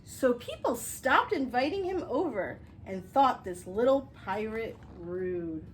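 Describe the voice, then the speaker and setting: A woman reading a picture book aloud as a children's teacher, expressive and instructive, explaining things directly to young viewers. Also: on the high side, slow pace, a little hiss or hum, poor audio. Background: music.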